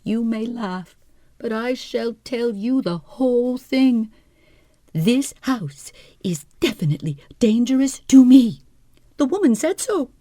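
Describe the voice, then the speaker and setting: A woman playing a shrill, whining invalid wife. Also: lively intonation, slow pace, dark tone, no room sound. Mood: plaintive. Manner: whining, theatrical, high-strung. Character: fretful, self-pitying middle-aged wife, an invalid. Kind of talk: audiobook character voice.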